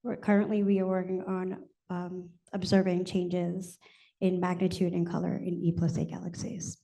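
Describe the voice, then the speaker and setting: Formal woman, flat mellow voice. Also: fairly low pitch, creaky. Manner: formal, slow and careful. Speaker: researcher presenter. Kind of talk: formal flash talk at a conference.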